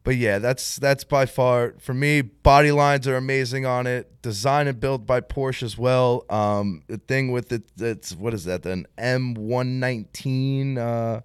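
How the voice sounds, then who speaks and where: Casual car-talk guy, smooth resonant tone, dry close sound, chatty and filler-heavy. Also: mid-range voice, unhurried, few pauses, no echo. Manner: animated, lots of "like" fillers.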